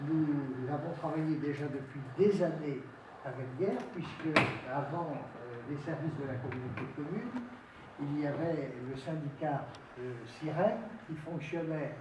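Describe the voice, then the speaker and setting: A man, a local official making a deliberate public speech, phrase by phrase, in an oratorical manner. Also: fairly high pitch. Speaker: local elected official, mayor. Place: indoor meeting room. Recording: echoey meeting hall, distant mic, noisy.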